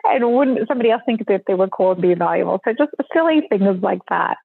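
A woman's voice: medium pitch, lively intonation, fast talker, creaky, slightly rough, no room sound. A woman in her forties, originally from Sudan, a company founder chatting reflectively as a podcast guest and laughing easily. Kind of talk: podcast interview. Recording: tinny phone-like line.

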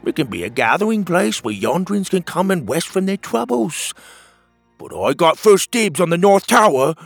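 Man playing a plainspoken platypus hero, pitched up. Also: very animated, moderate pace, creaky, slightly rough, no room sound, faint music behind, full character voice. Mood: easygoing. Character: bold platypus hero, plainspoken and easygoing leader.

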